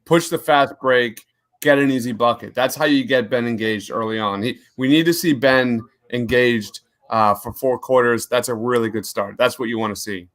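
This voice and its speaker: A man's voice: mid-range voice, moderate pace, fairly bright tone. A young man co-hosting a live sports podcast, reading viewer comments aloud and cheering on his team. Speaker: podcast co-host. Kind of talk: live game reaction chat.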